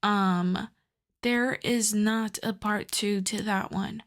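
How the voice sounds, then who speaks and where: A woman talks casually to her listeners as host of an ASMR channel. Her pitch is mid-range for a woman and her pace is slow. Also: steady tone.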